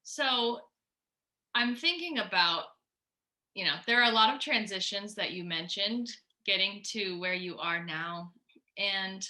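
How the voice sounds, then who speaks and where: A woman's voice: medium pitch, sharp tone. A woman hosting a conversational interview in a friendly, attentive manner. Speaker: podcast host, interviewer.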